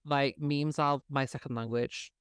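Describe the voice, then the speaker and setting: A man's voice: medium pitch, fairly even intonation, measured pace, fairly bright tone, smooth, dry recording, clean studio sound. A man co-hosting a podcast in casual chat, Spanish his first language, sassy and campy with plenty of shade. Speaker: podcast co-host.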